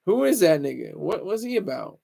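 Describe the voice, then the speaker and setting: A man's voice: sits high, very animated, a little fast, gravelly, dark tone. A man hosting a casual livestream, rambling, teasing viewers and stumbling over restarts. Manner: stammers and restarts often. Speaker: livestream host.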